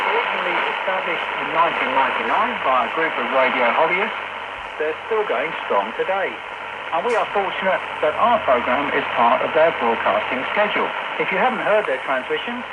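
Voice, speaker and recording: A man presenting a radio magazine programme from a script, in a measured announcer's delivery. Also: a little high. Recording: muffled shortwave radio with loud static. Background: heavy shortwave noise.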